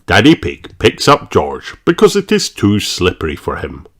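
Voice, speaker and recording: An adult reader narrating a children's storybook in an expressive, deliberate way. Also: sits low. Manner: expressive, deliberate read-aloud delivery.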